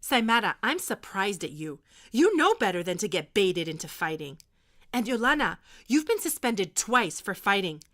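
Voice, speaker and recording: Stern, bright-voiced American schoolteacher. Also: female voice, mid-range voice, very animated. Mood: disappointed. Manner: firm, scolding, measured authority. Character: stern but caring female high school history teacher.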